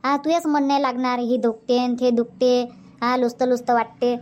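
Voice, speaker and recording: A woman's voice: a little high, little pitch movement, moderate pace, a little mellow, highs cut off, lightly acted. A woman voicing a chatty older village aunt in a Marathi cartoon comedy, scolding and motherly, with a Varhadi accent from Vidarbha. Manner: scolding, motherly, repeats herself.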